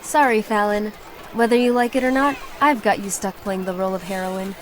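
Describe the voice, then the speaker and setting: Woman as a resolute warrior leader, mid-pitched. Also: lightly acted. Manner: measured, businesslike, firm. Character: serious, resolute young female warrior leader. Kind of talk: video game cutscene acting. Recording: music behind the voice.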